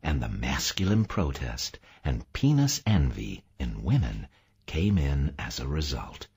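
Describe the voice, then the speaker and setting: A man voicing a gentle, grave woman in an audiobook, speaking softly and soothingly. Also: rumbling low, sing-song, unhurried, husky, voice pushed down, full character voice. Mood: gentle, earnest. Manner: soft, cooing, hushed. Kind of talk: audiobook character acting.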